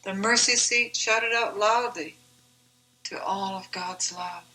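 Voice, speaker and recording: Slow, bright-voiced woman reading devotional poetry. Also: mid-range voice, fairly even intonation, poor audio. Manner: measured, deliberate, reverent. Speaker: author reading own poem.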